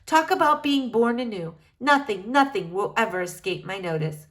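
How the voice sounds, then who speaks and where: A woman's voice: on the high side, lively intonation, moderate pace, close to an everyday voice. A woman voicing a wordy, earnest young boy in a children's storybook, speaking in a formal, effusive manner. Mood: delighted. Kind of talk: acted children's storybook dialogue.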